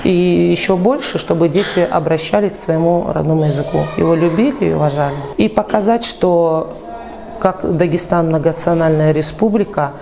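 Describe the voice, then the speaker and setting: A woman's voice: fairly low pitch, moderate pace, creaky, mellow. A middle-aged woman, a school deputy director, giving an interview answer into a lapel mic. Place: indoors, small school room.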